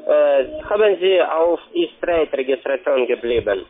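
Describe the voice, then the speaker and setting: Casting: male call centre agent, slightly high voice, foreign accent, halting non-native phrasing, phone-quality audio. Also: animated. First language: not German. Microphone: telephone. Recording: tinny narrowband phone line.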